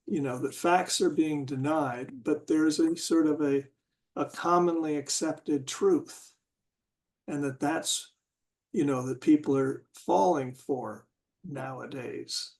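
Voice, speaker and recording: A man in a casual group discussion, talking haltingly and searching for words with many restarts. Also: a little high, darkish tone.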